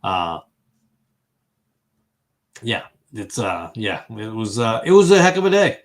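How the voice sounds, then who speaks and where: A man's voice: lowish voice, sing-song, slow pace. A man in his fifties from Massachusetts hosting a casual online fellowship chat, rambling and thinking aloud with lots of fillers while he responds to viewers' comments. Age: 50s. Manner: reacts to viewers' comments as he goes. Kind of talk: casual livestream group conversation.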